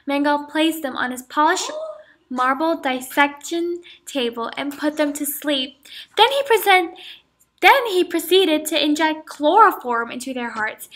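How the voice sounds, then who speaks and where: A woman's voice: high voice, fairly bright tone, heavily acted. A young woman playing a female camp survivor in a student mock interview, reciting her account as if reading a script. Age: young adult. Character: woman camp survivor and twin, grave and matter-of-fact. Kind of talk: amateur mock interview role-play.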